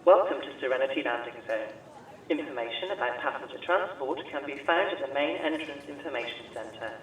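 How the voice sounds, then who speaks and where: A man's voice: medium pitch, brisk, a bit bright. A man playing a polite spaceport announcer, giving a scripted public-address message in an audio drama. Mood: courteous, neutral. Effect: public-address echo. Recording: echoey, distant announcement with faint noise.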